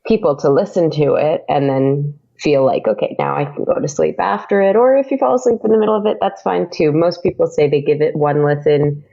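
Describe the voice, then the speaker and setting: Gravelly woman in dull lo-fi audio. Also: deep voice, fairly quick, few pauses, dark tone. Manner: chatty, rambling, laughs often. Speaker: audio drama podcaster, guest. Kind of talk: casual interview chat.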